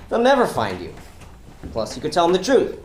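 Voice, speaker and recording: A man's voice: on the high side, huge pitch swings, unhurried, echoey room. A man in a stage play acting as a persuasive criminal schemer, coaxing and commanding in a pointed way. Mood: coolly persuasive. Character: smooth, persuasive male criminal schemer recruiting a reluctant worker. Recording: noisy live stage recording.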